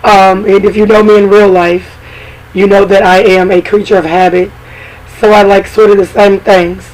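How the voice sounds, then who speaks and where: A hoarse woman with a cold hosting a podcast in casual, chatty talk full of fillers and asides. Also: medium pitch, little pitch movement, normal speed, darkish tone, rough recording. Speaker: podcast host.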